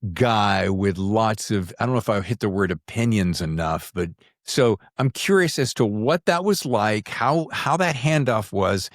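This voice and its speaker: A man's voice: fairly low pitch, no room sound, studio quality. A man hosting a conversational interview podcast, asking rambling, circling questions. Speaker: podcast host.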